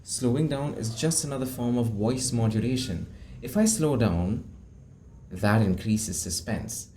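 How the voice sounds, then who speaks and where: A man's voice: medium pitch, expressive, measured pace, slight background noise. A young man teaching public speaking in a video lesson, explaining and demonstrating how to vary his tone. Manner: demonstrates tones, shifts loudness for emphasis. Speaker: speaking coach. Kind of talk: instructional video talk.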